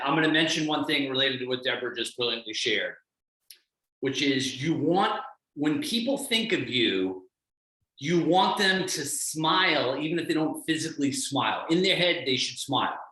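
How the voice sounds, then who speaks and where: Guy coaching hard, bright punchy voice, non-american accent, echoey room on a call. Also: fairly high pitch. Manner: energetic, blunt, acts out others' voices. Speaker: fitness coach guest speaker. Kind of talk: motivational coaching talk.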